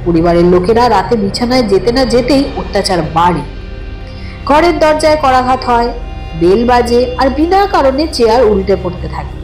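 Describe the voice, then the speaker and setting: A woman narrating a scripted horror story with expressive, dramatic suspense. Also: a little high, normal speed. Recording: roomy echo, distant voice, background music. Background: music.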